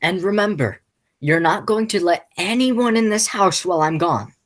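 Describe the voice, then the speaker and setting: A teenage girl playing a flustered, exasperated man in a school radio play, stammering and halting as she speaks. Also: lowish voice, lightly acted. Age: teens. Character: flustered, exasperated male theater critic and nephew.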